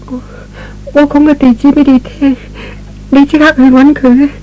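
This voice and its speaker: A man voicing a tender, devoted, injured young woman in a radio drama, speaking plaintively and haltingly. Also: very high voice, flat delivery, lo-fi sound. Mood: weak, anxious. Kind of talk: Cantonese radio drama acting.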